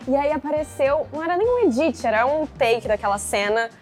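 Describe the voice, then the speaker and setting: A woman's voice: high voice, brisk, faint music behind. A woman in her twenties from Brazil, a contestant telling her story animatedly. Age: 20s. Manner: animated storyteller, acts out reactions.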